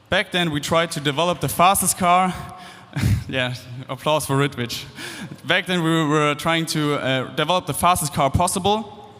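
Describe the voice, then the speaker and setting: A young man with a German accent speaks to a hall full of students in a casual, upbeat way, with loose fillers and restarts. His voice sits a little high for a man. Long reverb from the big hall trails behind each phrase. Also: a bit bright. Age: 20s. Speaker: guest speaker. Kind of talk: stage talk to students. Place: large hall.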